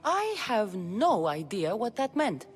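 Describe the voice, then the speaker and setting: A tough mercenary warrior woman in a video game, dry and blunt. Her mid-pitched voice still moves with lively inflection, with faint music underneath. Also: slow pace. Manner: dry, blunt, matter-of-fact. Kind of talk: video game cutscene acting.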